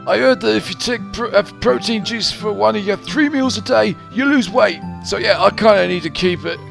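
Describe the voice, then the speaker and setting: Man doing a bubbly young saleswoman, raised pitch, peppy slang, emphatic, game character, music behind. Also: normal speed, vocal fry. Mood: perky, chatty. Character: perky, chubby, dieting young woman in sales.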